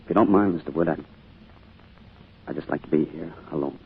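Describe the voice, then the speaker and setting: An actor playing a grieving, withdrawn middle-aged father in a radio drama, speaking in a weary, halting way. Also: a bass voice, vocal fry. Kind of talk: old-time radio drama acting. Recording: muffled, noisy old radio recording.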